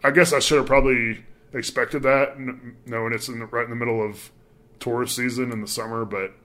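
A man's voice: medium pitch, steady tone, a little fast, fairly bright tone. A young man with a mild Southern accent, chatting loosely on a podcast with many fillers and restarts. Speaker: departing sports reporter. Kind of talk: casual podcast chat.

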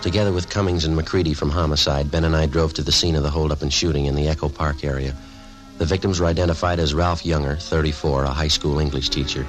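An American actor playing a terse, deadpan police detective sergeant who narrates his cases in clipped old-time radio drama style. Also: a bass voice, fast talker, music in the background. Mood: flat, businesslike. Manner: deadpan, clipped, matter-of-fact. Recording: noisy old radio broadcast recording.

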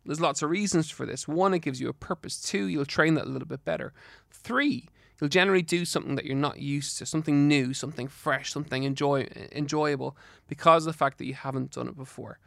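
A man's voice: a little high, a little fast, creaky, fairly bright tone, no room sound, studio quality. An Irish man with a slight lisp hosting a health podcast solo, giving advice in an earnest, encouraging, instructive way. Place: studio.

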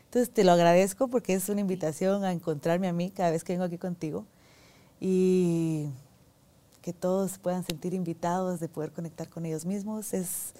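A woman guest, talking reflectively in a soft, unhurried way and pausing to search for words. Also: on the low side. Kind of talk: reflective conversational talk.

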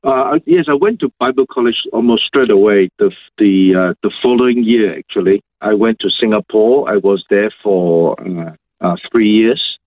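A middle-aged man with a Malaysian accent, a pastor answering interview questions in halting, measured phrases over a video call. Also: on the low side, no echo. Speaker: senior pastor, interview guest. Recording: thin phone-like video call audio.

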